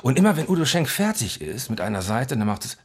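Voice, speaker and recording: A man chatting casually as a talk show guest, telling animated anecdotes and often repeating words as he goes. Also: mid-range voice, quick pace, husky. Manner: animated storytelling, repeats words, acts out dialogue. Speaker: guest, audio drama director and voice artist.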